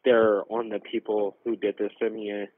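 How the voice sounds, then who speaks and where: A young man speaks on a thin, narrowband phone line. His voice sits fairly low and stays very even in pitch. He gives a halting, informal account as a caller to emergency services. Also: dry recording. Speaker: caller reporting a robbery. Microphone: telephone.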